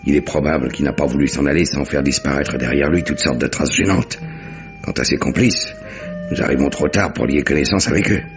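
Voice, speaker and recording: An actor playing a brash, bossy police inspector in a French radio drama, with a mocking, commanding way of talking. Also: male voice, rumbling low, a little fast. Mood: brusque, sardonic. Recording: muffled old radio recording, music behind.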